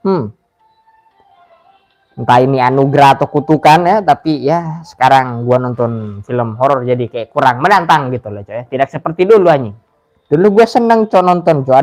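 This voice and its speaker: A man's voice: fairly high pitch, darkish tone. A young Indonesian man chatting casually on a game live stream, in slangy speech peppered with mild swearing.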